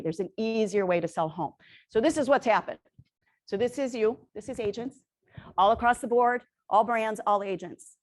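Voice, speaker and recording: A woman presenting to a room of real estate agents, energetic and quizzing the audience, who says she is losing her voice. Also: mid-range voice. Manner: energetic, asks the audience questions, tags lines with "right?". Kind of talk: sales presentation to a live audience.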